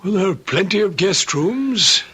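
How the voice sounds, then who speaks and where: An actor playing a mild-mannered, proper manservant in a radio drama, polite, tactful and a little hesitant. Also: male voice, sits high, lively intonation, measured pace, pushed and bright, husky, heavily acted. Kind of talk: old-time radio drama acting. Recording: dull lo-fi radio drama recording.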